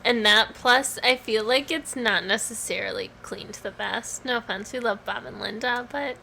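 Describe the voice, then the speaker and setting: A woman co-hosting a podcast in casual chat, hoarse from swollen tonsils, rambling with lots of "like" and "um". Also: on the high side, normal speed, pushed and bright, slight background noise. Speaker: podcast co-host.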